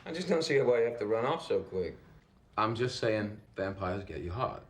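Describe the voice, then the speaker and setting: A man's voice: fairly low pitch, expressive, moderate pace, slight background noise, close to an everyday voice. An actor playing a cocky male vampire in a TV drama, teasing in a smug way. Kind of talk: TV drama dialogue.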